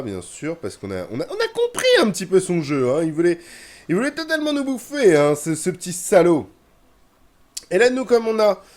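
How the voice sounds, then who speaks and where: Young male gaming youtuber, slightly high voice, stuffy head cold, chatty commentary that turns to grumbling. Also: a little mellow. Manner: chatty, grumbles and swears when frustrated. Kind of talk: casual gameplay commentary.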